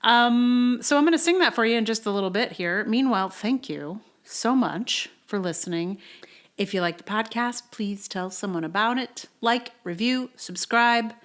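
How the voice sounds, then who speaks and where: A woman's voice: fairly high pitch, animated, normal speed. A woman hosting a podcast, chatting casually and informally, often hesitant as she thinks aloud. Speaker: podcast host.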